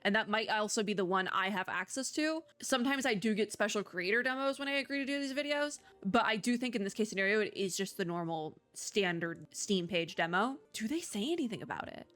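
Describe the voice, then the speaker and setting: A woman's voice: medium pitch, expressive, fairly quick, hardly any pauses, a bit bright. A woman talking casually and off the cuff as a video game host, in a chatty, rambling, informal way. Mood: annoyed. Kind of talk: casual unscripted game commentary.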